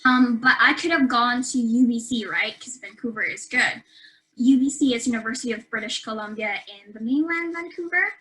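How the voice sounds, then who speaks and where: Bright-voiced young Filipino woman student. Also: a little high, normal speed, low-quality recording. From Philippines. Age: young adult. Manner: chatty, with fillers and light laughs. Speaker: university student. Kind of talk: casual webinar introduction.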